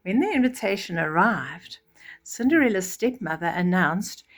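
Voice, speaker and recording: Older female bedtime storyteller, mid-pitched, reading to young children and explaining as she goes. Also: lively intonation, normal speed, a little hiss or hum.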